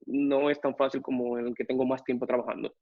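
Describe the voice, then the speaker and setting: A man's voice: mid-range voice, level tone, fast. A man co-hosting a podcast, asking follow-up questions and comparing his own experience. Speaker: podcast co-host. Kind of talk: casual podcast interview. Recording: dry muffled podcast audio.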